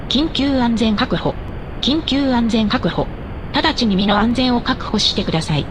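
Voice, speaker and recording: A woman plays an emergency broadcast announcer. Her fairly high voice delivers a formal public warning over clearly noisy, rumbling sound. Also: unhurried, natural voice. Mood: grave. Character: female emergency alert announcer.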